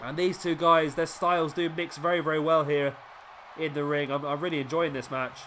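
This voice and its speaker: Gamer commentator: man, slightly high voice, a bit sniffly from a cold, excitable play-by-play, clear background noise. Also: fairly quick. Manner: excitable, rising during big moves. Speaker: gaming YouTuber commentating a wrestling game.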